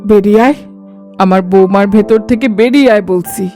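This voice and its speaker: A stern, suspicious middle-aged mother-in-law, played by a woman in a family cartoon. She scolds and commands in a warm, dark, mid-pitched voice over faint music. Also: moderate pace, lightly acted. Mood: stern scolding. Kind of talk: Bengali cartoon voice acting.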